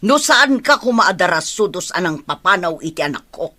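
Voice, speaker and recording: A worried mother played by a woman with an Ilocano accent. She pleads and reproaches in a forceful, raspy voice, recorded very dry. It is radio drama acting. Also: lowish voice, very animated, moderate pace, no echo, full character voice. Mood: reproachful, worried. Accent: Filipino, Ilocano. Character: worried, pleading mother defending her daughter.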